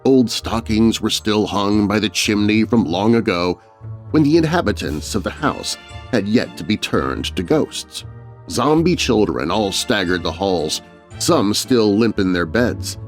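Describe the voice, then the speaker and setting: A male narrator tells a spooky children's tale in rhyme. His delivery is theatrical and very animated, with music underneath. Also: fairly low pitch, unhurried. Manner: theatrical, rhyming storyteller delivery. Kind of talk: dramatic children's horror story narration.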